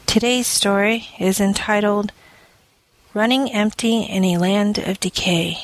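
A woman reading scripted audio fiction in a measured, deliberate manner, phrase by phrase. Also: mid-range voice, no echo, low-quality recording. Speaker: story reader.

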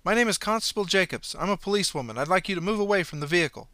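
A stern policewoman with a raised, bright, forceful voice, put on by a male narrator. She gives firm commands in a dry, close audiobook read. Her tone stays authoritative and clipped. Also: high voice, animated, racing, no room sound, pitched above the performer's own voice. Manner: firm, commanding, shouts when chasing. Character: stern adult female police constable. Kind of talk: audiobook character voice.

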